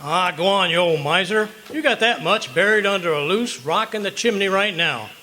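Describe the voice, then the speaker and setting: A man acts a blustery American husband from old-time radio comedy. His voice is high and bright, swooping through folksy wisecracks and tall tales. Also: lively intonation, moderate pace. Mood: teasing.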